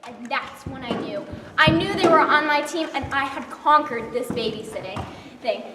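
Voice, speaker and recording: An earnest teen babysitter looking back on her night, played by a young woman, her voice very high and lively. She sounds far away in an echoing hall, with steady hiss behind her. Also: normal speed. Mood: reflective. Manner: earnest, reflective narration. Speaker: narrator. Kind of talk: amateur stage play. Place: large hall stage.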